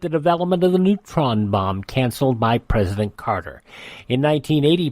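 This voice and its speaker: A man's voice: fairly high pitch, darkish tone, no room sound. An older American man hosting radio, reading history items in a narrating style with chatty personal asides. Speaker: radio host. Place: radio studio.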